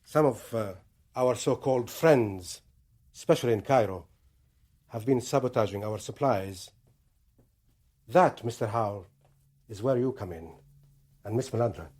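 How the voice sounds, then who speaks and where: An actor playing a Palestinian militant commander in a radio drama, deliberate, formal and imperious, with a menacing courtesy. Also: male voice, mid-range voice, darkish tone, breathy edge. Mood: coolly authoritative.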